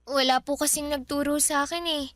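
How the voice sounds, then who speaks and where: Very high-pitched Filipino young girl. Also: little pitch movement, fairly quick, a bit bright, no echo, clean studio sound. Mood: meek. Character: polite, eager thirteen-year-old granddaughter and niece. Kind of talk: Filipino radio drama acting.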